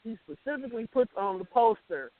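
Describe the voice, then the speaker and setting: A man's voice: very high-pitched, lively intonation, a little fast. A man chatting casually as a talk show co-host, slangy and emphatic, repeating words to make his point. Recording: tinny phone line with hiss and noise.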